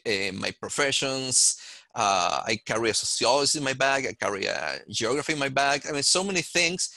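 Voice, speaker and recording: A man from Chile with a Chilean Spanish accent, speaking thoughtfully on a panel and pausing to find his words. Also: medium pitch, pushed and bright, dry recording. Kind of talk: panel discussion.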